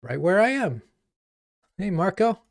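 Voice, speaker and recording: A man from Japan hosting a coding livestream as a coach, chatting casually and haltingly as he thinks aloud. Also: sits high, big pitch swings, gravelly, no echo, studio quality.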